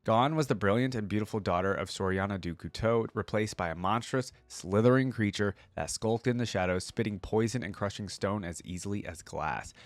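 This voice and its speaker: A man's voice: on the low side, a little fast, few pauses, dry recording. A man hosting a reaction video, reading a story aloud expressively and adding casual asides of his own.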